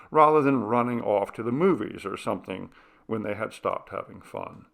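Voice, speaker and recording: A man reading short fiction aloud as an audiobook narrator, in an expressive storytelling manner with a gravelly voice. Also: medium pitch. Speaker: audiobook reader.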